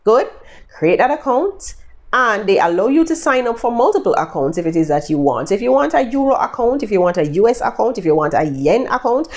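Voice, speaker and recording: A woman's voice: a little high, big pitch swings, brisk. A Jamaican woman giving casual, chatty tutorial tips to her viewers, upbeat and addressing them directly. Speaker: vlogger giving freelancing tips.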